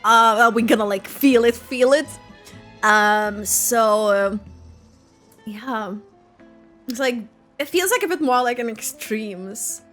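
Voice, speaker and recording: A young woman giving casual game reaction commentary, giggly and rambling in a halting way. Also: a little high, a bit bright, soft background music. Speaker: game streamer.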